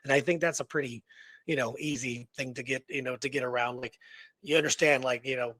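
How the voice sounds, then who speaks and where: A man's voice: mid-range voice, fairly even intonation, brisk, fairly bright tone, no echo. A man co-hosting a history show in casual, conversational discussion, often dropping in "you know".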